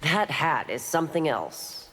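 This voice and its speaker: A woman's voice: low voice, sing-song, a little slow, a bit bright, big-room sound, a little hiss or hum, heavily acted. A woman playing a proud, skeptical constable warrior with a curt, disdainful manner in a fighting game. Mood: stern. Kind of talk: video game character banter.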